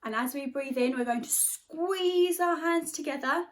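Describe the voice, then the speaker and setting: A woman with an expressive, chatty and encouraging way of talking, leading and reading aloud for young children as their teacher. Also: sits high, a little slow. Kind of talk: animated storybook read-aloud and guided exercise.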